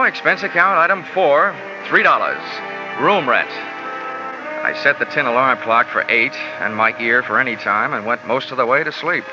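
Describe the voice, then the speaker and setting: Man acting tough private investigator, wry clipped narration, old radio drama, muffled sound, loud music behind. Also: mid-range voice, lightly acted. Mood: wry, businesslike. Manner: wry, clipped, hard-boiled delivery. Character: wry hard-boiled male insurance investigator, cynical and tough. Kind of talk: 1940s radio detective drama with first-person narration.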